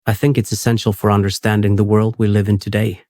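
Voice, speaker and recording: A man reading the lines of a woman historian guest in a steady, even voice. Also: lowish voice, fast, no room sound, studio quality, natural voice. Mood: earnest.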